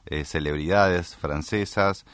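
A man with an Argentine accent explaining news in a casual panel discussion, haltingly and often correcting himself. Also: deep voice, fairly bright tone, clean tone, no echo, clean studio sound. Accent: Argentine Spanish.

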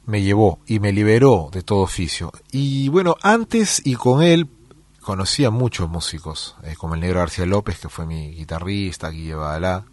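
A middle-aged man from Peru, a musician giving interview answers in a relaxed storytelling way, with many fillers and restarts. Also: sits low, no room sound. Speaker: musician guest.

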